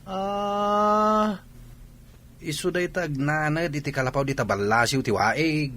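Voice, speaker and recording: A man's voice: a little high, slow pace, pushed and bright. A man with a Filipino accent playing a lazy, scheming village drunkard in a comedy radio drama, brash and dismissive.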